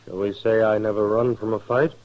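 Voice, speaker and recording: An actor playing a proud, cool gentleman gambler in a 1950s radio western, formal, polite and self-assured. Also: lowish voice, little pitch movement, a little fast, close to an everyday voice. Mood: proud, guarded. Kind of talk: 1950s radio drama acting. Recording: dull 1950s radio recording.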